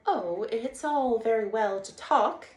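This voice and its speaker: A woman's voice: on the high side, slow, voice pushed up. A woman voicing a shy, naive male mole who is eager and wide-eyed, in a children's storybook reading. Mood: pettish. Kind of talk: children's storybook read-aloud. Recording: roomy echo, faint background noise.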